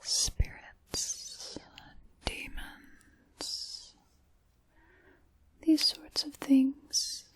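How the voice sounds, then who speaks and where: A woman voicing an ancient, sly dark witch in an ASMR roleplay, in a breathy whisper with deliberate, theatrical, old-fashioned phrasing. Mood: conspiratorial, sinister.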